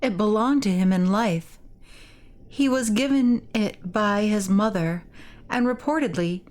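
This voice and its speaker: Lady doing a calm solemn advisor girl in a game, formal, measured, medium pitch. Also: lightly acted. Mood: composed. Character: composed, solemn, knowing young female advisor. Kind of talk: dramatic read-aloud of game dialogue.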